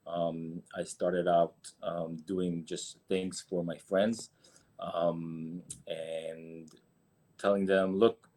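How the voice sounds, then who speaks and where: Very deep-voiced Israeli man. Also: darkish tone. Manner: hesitant, many fillers and restarts. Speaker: guest, agency founder. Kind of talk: casual interview.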